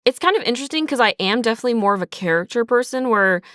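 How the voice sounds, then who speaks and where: A woman's voice: a little high, lively intonation, very fast, fairly bright tone, dry recording, studio quality. A woman speaking casually in an interview as a writer, talkative and thinking aloud, slipping into imagined lines as she explains. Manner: talkative, thinking aloud, acts out characters' thoughts in asides. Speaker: writer and creator of an audio drama, also voice actor. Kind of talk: casual behind-the-scenes interview.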